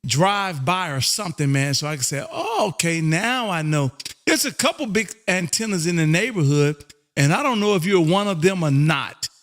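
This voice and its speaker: An American man hosting a ham radio stream, chatting in a loud, folksy, chummy way and often saying "man". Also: on the high side, animated, normal speed. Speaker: amateur radio operator and livestream host. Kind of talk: casual ham radio contact chat.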